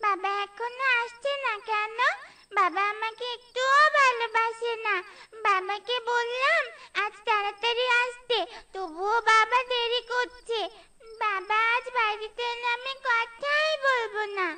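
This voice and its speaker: A woman's voice: very high voice, unhurried, bright, forceful tone, soft background music, full character voice. A woman voicing a small, childlike young bird daughter in a cartoon, with a whiny manner. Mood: sulky. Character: small young daughter bird, sulky, affectionate, wide-eyed.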